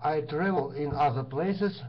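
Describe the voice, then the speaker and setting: A man with a non-native English accent, a learner giving halting answers in an online class. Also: on the high side. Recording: dull online call with faint noise.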